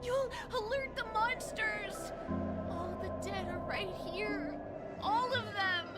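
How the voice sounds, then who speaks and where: A tiny, jumpy game creature, whimpering in panic. The voice is extremely high and drags out its words tearfully. Loud music plays behind it. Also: female voice, slow. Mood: panicked, tearful. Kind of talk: video game voice acting.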